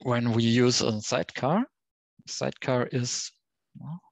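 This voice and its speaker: Creaky man presenting, dry sound. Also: mid-range voice, unhurried, no echo. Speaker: guest presenter, software developer. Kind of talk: explanatory tech presentation. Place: online conference call with screen share.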